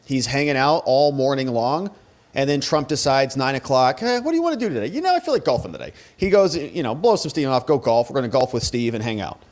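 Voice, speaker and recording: A man's voice: medium pitch, big pitch swings, fast. A man giving casual, sarcastic commentary as a show host, speaking in a colloquial way. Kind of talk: casual commentary reacting to press conference clips.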